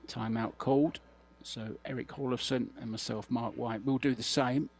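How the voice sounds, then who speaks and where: A man giving measured, understated live commentary on a billiards match, his voice slightly croaky. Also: medium pitch, steady tone. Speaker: sports commentator. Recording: broadcast with clear background hum.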